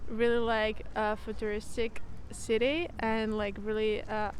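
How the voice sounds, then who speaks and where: A young woman from Spain chatting outdoors, filling pauses with "like". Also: fairly high pitch, level tone, slight background noise. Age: young adult. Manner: chatty, heavy use of "like". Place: outdoors in a city. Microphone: handheld mic held by interviewer.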